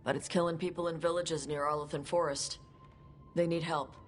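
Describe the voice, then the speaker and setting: A woman's voice: sits low, fairly even intonation, normal speed, a little hiss or hum, natural voice. A woman playing a blunt, confident dragon hunter in a fantasy video game, speaking matter-of-factly. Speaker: voice actor. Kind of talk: video game cutscene acting.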